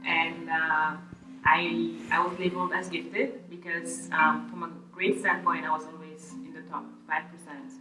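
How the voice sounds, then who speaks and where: A woman's voice: low voice, level tone, measured pace. A young woman from Senegal, thoughtfully reflecting on her school years in an interview. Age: young adult. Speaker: student interviewee. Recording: roomy, from a distance, music behind.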